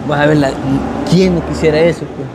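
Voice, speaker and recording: Fast-talking, coaxing young Salvadoran man. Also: a little high, steady tone. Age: young adult. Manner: coaxing, earnest. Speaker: interviewer. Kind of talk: casual street interview. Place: outdoors on a city street at night. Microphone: clip-on lavalier. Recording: busy street noise behind voice.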